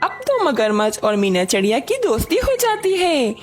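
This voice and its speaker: A woman's voice: high voice, wildly swooping pitch, normal speed, soft background music. A woman narrating a scripted children's story as its narrator.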